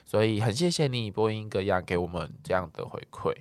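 Man, low voice, very lively intonation, talkative podcast co-host, casual chat, dry close sound. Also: fast, no echo. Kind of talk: casual chat, replying to listener comments.

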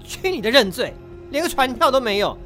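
Fiery devoted husband in audio drama, high voice, swings all over, music under. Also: male voice, very animated, fairly quick, a bit bright, full character voice. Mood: indignant. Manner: indignant, confrontational. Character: defiant, devoted young husband facing arresting officers. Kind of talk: radio drama acting.

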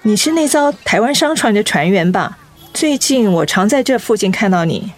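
An actress with a Taiwanese accent playing a principled young volunteer in a radio drama, thoughtful, resolute and articulate. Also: female voice, mid-range voice, very animated, moderate pace. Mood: serious, earnest. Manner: earnest, articulate. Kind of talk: Mandarin radio drama acting.

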